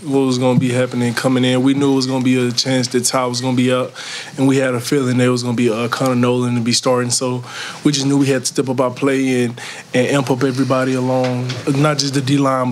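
Young man, college athlete, fast casual answers with little pitch movement, press room interview. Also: level tone, slight background noise. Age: early 20s. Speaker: college football player. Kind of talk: post-game press conference answers. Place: indoor press conference room. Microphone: tabletop microphone.

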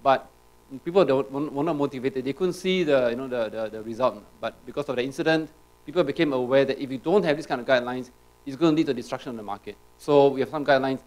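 Fast-talking man at a conference. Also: mid-range voice, darkish tone, poor audio. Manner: rapid, tag questions, frequent restarts. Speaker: conference speaker. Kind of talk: conference talk. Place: large auditorium.

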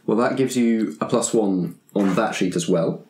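A man's voice: lowish voice, normal speed, a little mellow, reverberant, slight background noise. A man hosting a roleplaying podcast, asking questions and presenting in a conversational way. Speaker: podcast host and game master. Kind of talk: conversational interview and credits narration.

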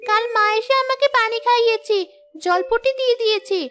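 Extremely high-pitched little girl. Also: a little fast, fairly bright tone. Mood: plaintive. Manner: plaintive, childlike. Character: frail, pleading little village girl. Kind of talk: Bengali cartoon voice acting.

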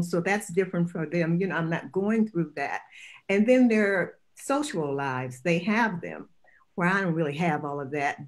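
An older woman, a retired grandmother, speaking as a panelist on a video call from home in a slow, reflective way. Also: on the low side. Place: home room, indoors.

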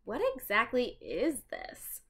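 An eager, curious young girl from a children's storybook, read by a woman. The voice is bright and expressive, with lively swings in pitch. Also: takes it slowly, creaky, slightly rough, heavily acted. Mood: curious, excited.

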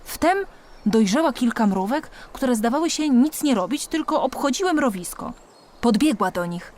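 A woman narrating a scripted children's audiobook story in an expressive storytelling manner. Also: a little high, normal speed, slight background noise. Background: music.

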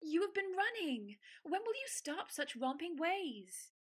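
A woman's voice: very high voice, sing-song, unhurried, exaggerated voice. A woman playing a proper, motherly elder sister in an audio drama, with a prim, lilting way of speaking. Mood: scolding. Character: proper, motherly eldest sister, gently scolding. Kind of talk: theatrical audio drama acting.

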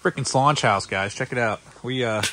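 A man in his thirties filming his ice-fishing trip in a tent on a frozen lake, talking casually and excitedly with plenty of slang and swearing, recorded on a handheld action camera. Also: mid-range voice, moderate pace. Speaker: fishing vlogger. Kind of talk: casual outdoor vlog. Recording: noisy outdoor camera audio.

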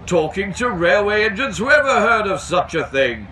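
Man doing a chatty storybook policeman, raised pitch. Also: very animated, moderate pace, pushed and bright, slight background noise, heavily acted. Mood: amused. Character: chatty, gossipy village policeman, amused and deferential. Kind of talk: storybook reading. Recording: outdoors with background bustle.

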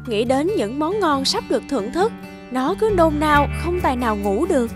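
A woman's voice: high-pitched, sing-song, moderate pace, over music. A woman storyteller reading scripted fairy-tale narration for children.

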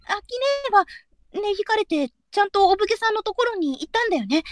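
Young courtesan: very high girlish voice, innocent, emotional, hesitant delivery, period audio drama. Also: lively intonation, fairly quick, no echo, studio quality. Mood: eager.

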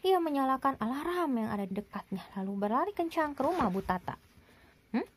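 A young woman reading a picture book expressively to a child, pausing to ask questions. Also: high voice, very animated, breathy edge. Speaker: parent reading aloud to a child. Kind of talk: animated picture-book read-aloud with asides to a child.

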